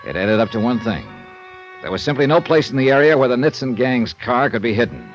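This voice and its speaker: An actor playing a wry, easygoing insurance investigator who narrates in a dry, laconic first person, in a 1950s radio drama. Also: male voice, mid-range voice, sing-song, fairly quick, lightly acted. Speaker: narrator and lead. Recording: dull old radio broadcast with music.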